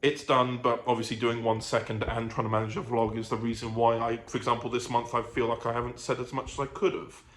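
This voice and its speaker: A man's voice: medium pitch, little pitch movement, quick pace, hardly any pauses, a bit bright. Man vlogging casually in an echoey room. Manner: hesitant, self-correcting, trailing off. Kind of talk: casual rambling vlog chat. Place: living room of own apartment. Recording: echoey room with faint ambient noise.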